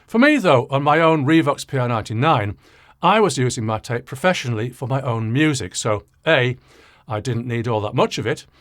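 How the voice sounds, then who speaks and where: A man's voice: mid-range voice, a bit bright, dry recording. An older man with a British accent presenting a scripted explainer as an audio engineer, in a dry, wry, teasing way.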